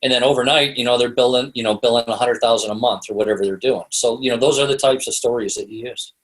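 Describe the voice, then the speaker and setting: A man's voice: mid-range voice, fairly even intonation, fast talker, rough recording. A man with a North American accent speaking as an interview guest, conversational and explaining things through examples. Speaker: interview guest, author and storytelling consultant. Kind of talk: casual interview talk.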